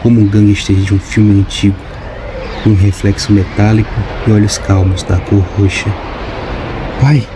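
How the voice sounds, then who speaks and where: A man reading a storybook in a steady, scripted narration. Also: on the low side, normal speed, noisy background. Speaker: audiobook narrator. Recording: music playing behind. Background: music.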